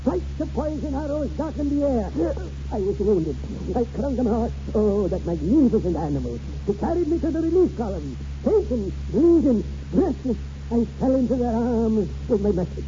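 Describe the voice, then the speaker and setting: A man's voice: very high voice, sing-song, normal speed, warm tone. An actor in a 1940s radio drama playing a boastful, flowery old great-grandfather who spins tall tales in theatrical style. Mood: boastful, dramatic. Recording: dull, noisy 1940s radio recording.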